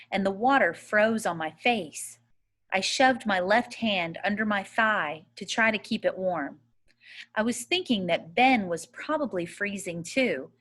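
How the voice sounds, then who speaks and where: Slow-paced woman reading a story aloud. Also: medium pitch, fairly bright tone. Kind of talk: audiobook-style readaloud of a first-person children's novel.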